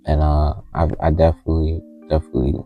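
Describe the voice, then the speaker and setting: Deep gravelly man speaking from a closet. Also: level tone, muffled recording. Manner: halting, heartfelt, stops often to find words. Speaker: vlogger. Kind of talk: personal confessional vlog. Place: small closet indoors. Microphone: handheld phone camera. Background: faint TV music from the next room.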